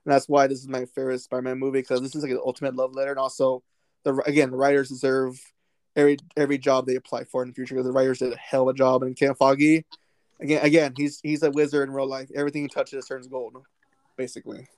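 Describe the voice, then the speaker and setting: Guy on a movie podcast, kinda monotone but hyped, lots of likes and restarts, dry close sound. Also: mid-range voice, fast talker, no room sound. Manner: excited, rambling with many restarts and fillers. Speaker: podcast co-host. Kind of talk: casual movie discussion.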